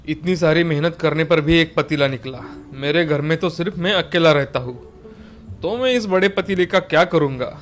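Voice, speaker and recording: A man's voice: a little high, normal speed, close to an everyday voice. A man voicing the male characters of a moral folktale, in the manner of a children's cartoon story. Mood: dejected. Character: men of a moral folktale: labourer, landlord, greedy king.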